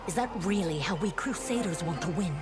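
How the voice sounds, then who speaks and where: An actress playing an earnest, dutiful female paladin officer in a fantasy game, speaking in a formal, measured reporting manner. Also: fairly low pitch, expressive, natural voice. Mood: grave, reproachful. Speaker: game character reporting to a commander. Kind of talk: voice-acted fantasy game dialogue. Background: music.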